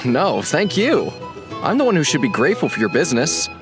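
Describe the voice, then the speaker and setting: An easygoing tavern owner. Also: male voice, on the high side, huge pitch swings, brisk. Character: friendly male tavern owner, easygoing and chatty. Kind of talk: game voice acting. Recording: game dialogue over background music.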